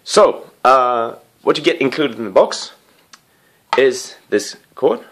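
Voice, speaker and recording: A man giving a casual hands-on video review in a living room, rambling with apologetic asides and a playful, excitable streak. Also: medium pitch, takes it slowly, slight background noise. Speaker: amateur gadget reviewer. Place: living room with wooden floor and rug.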